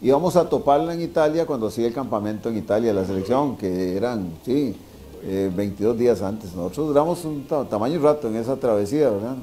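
Mellow older Costa Rican man telling stories. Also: medium pitch, fairly quick. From Costa Rica. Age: 60s. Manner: repeats phrases for emphasis, tag questions. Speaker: interview guest, veteran sports journalist. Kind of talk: casual anecdote telling. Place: wine bar dining room, indoors.

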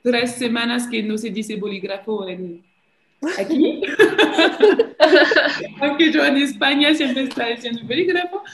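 A woman's voice: a little high, lively intonation, slow, pauses often, roomy sound, crowd noise. A woman from France with a French accent, a native French speaker, talking chattily as an interview guest over a video call, often restarting her phrases. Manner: chatty, hesitant with many restarts, laughs often. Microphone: laptop mic on a video call.

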